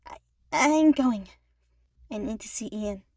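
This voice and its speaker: A woman voicing an anxious, guilt-ridden young female chipmunk who speaks in a halting, apologetic way. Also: mid-range voice, lively intonation, warm tone, no room sound, studio quality. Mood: hesitant, evasive.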